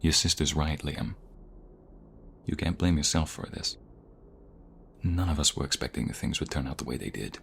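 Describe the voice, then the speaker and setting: Very deep, gravelly protective mobster played by a man. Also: moderate pace, pauses often, fairly bright tone, a little hiss or hum, heavily acted. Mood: calm, concerned. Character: protective reformed mobster, devoted tender fiancé. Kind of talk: ASMR roleplay acting.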